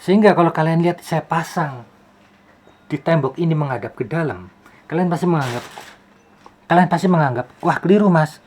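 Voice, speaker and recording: A man's voice: a little high, fairly quick, long pauses, a little mellow. This is a man hosting a casual how-to video. He talks in an informal, explanatory way. He often poses rhetorical questions and repeats phrases to drive a point home. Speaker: YouTube host, film soundman. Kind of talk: casual tutorial.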